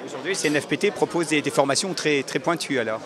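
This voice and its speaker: A man's voice: a little high, quick pace, crowd noise. A man putting short questions as an interviewer.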